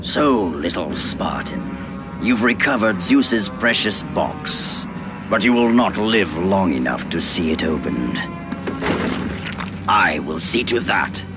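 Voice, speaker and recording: Game villain, menacing god: booming bottom-register voice, widely swinging theatrical delivery, loud score underneath. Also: male voice, animated. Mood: gloating menace. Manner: slow, theatrical, taunting. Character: menacing, gloating god of war. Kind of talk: video game cutscene acting. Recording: loud music behind the voice.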